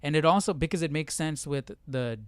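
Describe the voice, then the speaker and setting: A man's voice: on the high side, fairly quick, slight background noise. A man hosting a livestream, talking informally through a study session and often checking in with "right?". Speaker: livestream host. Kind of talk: casual Bible study livestream commentary.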